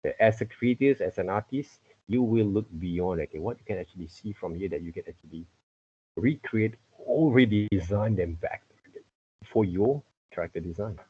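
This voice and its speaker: A middle-aged man with a husky voice teaching an informal online lesson, repeatedly checking with "okay" and "right". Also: fairly low pitch, moderate pace. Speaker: teacher, art and character design tutor. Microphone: computer mic on a video call. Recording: muffled video-call audio.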